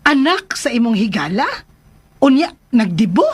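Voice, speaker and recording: Inquisitive mother, very high-pitched, questioning her grown son, Filipino radio drama. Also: sing-song, normal speed, breathy edge.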